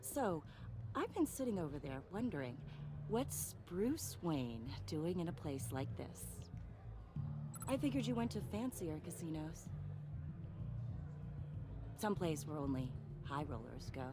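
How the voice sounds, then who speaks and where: A woman's voice: low-pitched, big pitch swings, a little slow, long pauses, heavily acted. Coy woman, music behind. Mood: flirtatious. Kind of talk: video game acting. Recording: game music under voice.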